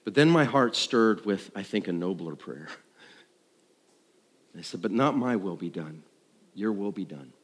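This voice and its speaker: A man tells a personal testimony to a congregation in a conversational, self-deprecating way, acting out dialogue and thoughts like an animated storyteller. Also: deep voice, measured pace, pauses often. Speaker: worship leader. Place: large room, speaking to an audience. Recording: live hall with long reverb.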